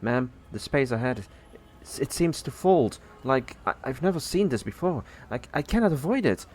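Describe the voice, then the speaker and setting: A man's voice: medium pitch, expressive, moderate pace, a little mellow, husky, pitched above the performer's own voice. A man voicing an earnest, feathered female alien starship pilot who reports eagerly and respectfully in an audio drama. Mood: alarmed, stammering.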